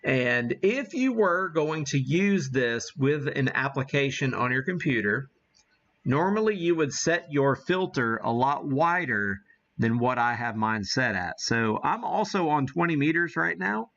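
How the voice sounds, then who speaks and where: A man's voice: medium pitch, lively intonation, a little slow, a bit bright, muffled recording. A man hosting a ham radio livestream, chatting casually in a rambling, self-deprecating way as he demonstrates. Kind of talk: casual live-stream chat and demonstration.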